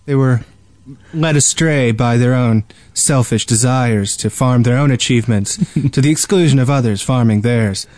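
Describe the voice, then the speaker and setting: A man on a casual gaming podcast, bantering in a mock-solemn manner with halting dramatic pauses. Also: mid-range voice, darkish tone, dry recording. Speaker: podcast co-host.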